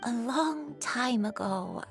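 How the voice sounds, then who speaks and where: A frail old grandmother from a video game, gentle and forgetful. She speaks very slowly in a creaky, husky voice, with soft music underneath. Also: a little high, animated, heavily acted. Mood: wistful, weary. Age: older. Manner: slow, apologetic, gentle. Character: frail, gentle, forgetful old granny recalling her past.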